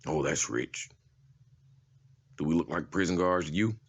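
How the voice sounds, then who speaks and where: Gruff male convict for comic drama, acted by a man: extremely low voice, blunt, sardonic, menacing. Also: a little slow, dull audio, exaggerated voice. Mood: scornful. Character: blunt, intimidating male convicted murderer in prison.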